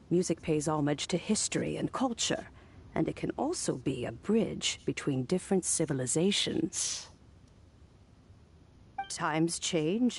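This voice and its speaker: A woman voicing a wise, kindly old lady in a video game, genteel and gently teasing. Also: lowish voice, husky, full character voice. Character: wise, kindly, good-humoured old lady, a village elder.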